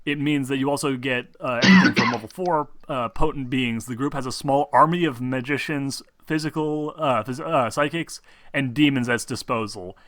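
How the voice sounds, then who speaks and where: A man acting as game master, casually explaining tabletop game rules in a halting, stumbling, sweary way. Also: mid-range voice, a bit bright, dry recording, soft background music.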